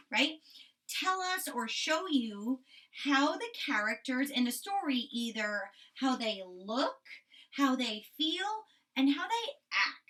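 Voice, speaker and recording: A woman's voice: fairly high pitch, sharp tone, far from the mic. A woman primary school teacher giving a children's lesson and reading a storybook, instructive, stressing key words and often checking in.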